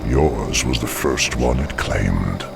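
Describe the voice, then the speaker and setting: An actor playing a menacing male demon dreadlord who speaks slowly and condescendingly, recorded for a game cutscene over music. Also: very deep voice, animated, heavily acted. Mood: smug. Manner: slow, condescending, gravelly.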